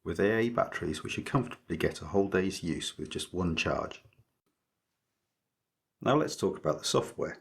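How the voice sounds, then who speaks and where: A man narrating a scripted electronics project tutorial as its maker, explaining methodically in a measured way. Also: low-pitched, vocal fry, slightly rough.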